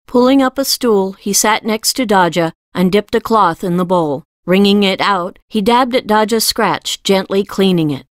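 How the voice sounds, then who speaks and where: A woman's voice: medium pitch, moderate pace, few pauses, no room sound, studio quality. A woman reading scripted narration from a fantasy novel as an audiobook narrator.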